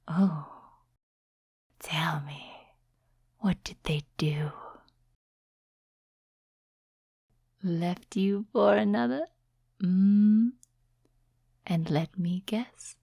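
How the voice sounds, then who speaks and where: A woman voicing a sly, seductive demon in a soft-spoken ASMR roleplay, mostly whispering in a breathy, teasing, condescending way. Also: on the low side, dry recording, studio quality, exaggerated voice. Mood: amused, probing. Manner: slow, deliberate, teasing, condescending to mortals. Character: sly, seductive female demon tempting a mortal summoner.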